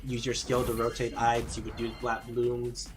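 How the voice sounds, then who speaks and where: A man's voice: mid-range voice, monotone, moderate pace, music in the background. A man streaming a game, answering chat questions casually with plenty of fillers and restarts. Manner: rambling, many fillers and restarts.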